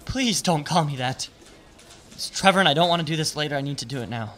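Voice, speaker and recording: A shaken, raw male witness giving grave testimony in an audio drama. His voice sits a little high, with faint noise behind it. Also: lively intonation, a bit bright. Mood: bitter, angry. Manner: halting, emotional testimony turning to storytelling. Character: shaken, grieving male bank robbery witness, prickly, raw. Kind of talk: audio drama acting, first-person narration.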